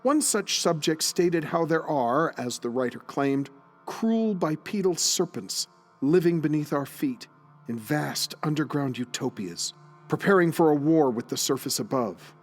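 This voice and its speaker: A man's voice: on the high side, expressive, a little slow, faint music behind. A man reading scripted story narration as the narrator of an audio drama, in a solemn, literary storytelling manner.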